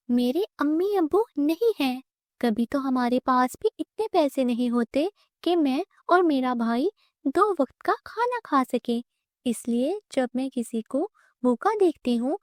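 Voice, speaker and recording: Woman voicing a high-pitched, kind orphan girl. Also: dry recording, clean studio sound. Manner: gentle, earnest, polite. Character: kind, self-sacrificing poor orphan girl, elder sister. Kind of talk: cartoon moral story voice.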